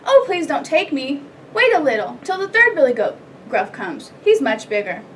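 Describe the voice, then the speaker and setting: A woman's voice: high-pitched, very animated, normal speed. A woman voicing a middle-sized male billy goat in a children's storybook, polite and pleading, with a not so small voice. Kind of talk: children's storybook read-aloud. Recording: distant mic, light background noise.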